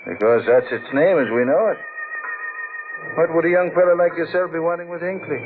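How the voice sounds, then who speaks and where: Actor as a wary, highish-voiced old boatman, vintage radio. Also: a little fast, narrow, tinny audio, music in the background, natural voice. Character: wary older boatman warning a young customer. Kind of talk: 1940s radio drama acting. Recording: noisy band-limited old radio recording.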